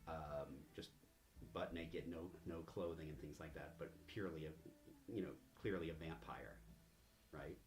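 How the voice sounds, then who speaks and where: A man improvising narration as game master of a tabletop game, in a halting, drawn-out way with pauses. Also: a bass voice, steady tone. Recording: faint background noise.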